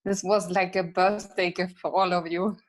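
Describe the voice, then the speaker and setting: A woman thanking the speakers on a video call, pausing often to search for her words. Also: fairly low pitch, little pitch movement.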